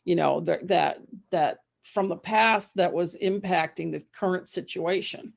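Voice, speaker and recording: A woman's voice: fairly low pitch, a little slow, vocal fry. A woman interview guest, an author and psychologist, talking casually and circling back mid-sentence.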